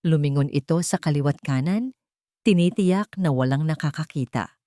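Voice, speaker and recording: A woman narrating a scripted dramatic story in measured, suspenseful phrasing. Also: sits low, warm tone, dry recording, clean studio sound.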